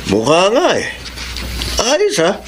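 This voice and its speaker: A man plays a brash small-time crook and hired killer in a melodrama. His voice is fairly high and very animated, casual and coaxing. A little noise sits behind it. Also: slow, full character voice. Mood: breezy, coaxing. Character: brash, shady male criminal friend, hired killer. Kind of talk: Filipino melodrama audio acting.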